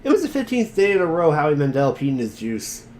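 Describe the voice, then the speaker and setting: Man reading a smug, high-pitched teasing boy. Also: huge pitch swings, quick pace, darkish tone. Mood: mocking. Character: smug sarcastic boy chatting online, webcomic character. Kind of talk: amateur dramatic reading.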